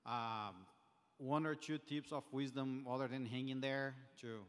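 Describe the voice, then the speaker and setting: A man's voice: medium pitch, fairly even intonation, unhurried, fairly bright tone, clean tone. A man with a Brazilian Portuguese accent asking a hesitant question from the audience at a conference, with many fillers and restarts. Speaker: podcast host asking a question. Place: conference hall. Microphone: handheld audience mic. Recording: roomy hall with long reverb.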